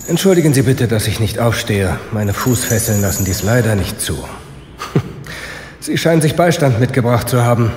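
A man's voice: mid-range voice, expressive, normal speed, noisy background, natural voice. Bitter condemned prisoner in an echoing room played by a man. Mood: sardonic. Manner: sardonic, articulate. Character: bitter, resigned condemned prisoner, weary of life. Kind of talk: German radio play acting.

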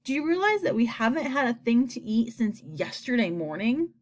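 A woman's voice: a little high, sing-song, normal speed. A woman voicing a gloomy, blind male earthworm in a storybook, complaining and snapping in melodramatic despair. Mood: doomful.

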